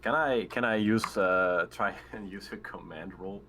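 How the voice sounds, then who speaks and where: A man's voice: on the low side, unhurried, bright, forceful tone, a little hiss or hum. A man playing a tabletop game, chatting casually and tentatively as he thinks his moves through aloud.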